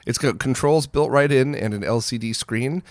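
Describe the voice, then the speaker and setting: A man with a mid-pitched voice hosts a gaming news roundup. He talks casually and conversationally, offering his own opinions as he goes. The sound is dry, with very little room in it. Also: moderate pace, no echo.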